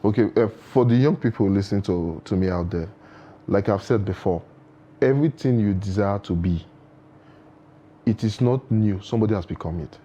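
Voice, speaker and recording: A man's voice: lowish voice, moderate pace, mellow, slight background noise. A man speaking as a guest in a studio interview, giving earnest advice full of proverbs, with frequent restarts. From Nigeria.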